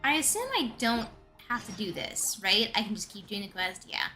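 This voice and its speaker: A woman's voice: medium pitch, animated, normal speed, sharp tone. A woman streamer giving casual, slangy, opinionated live commentary on a game. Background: game music and dialogue.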